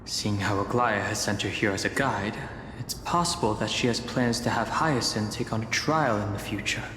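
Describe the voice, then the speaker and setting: An actor playing a calm, analytical young traveler in a fantasy video game, speaking in a measured, contemplative way. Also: male voice, lowish voice, noisy background, close to an everyday voice. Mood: pensive. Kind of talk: English-voiced fantasy game dialogue and monologue. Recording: echoey reverb, music underneath.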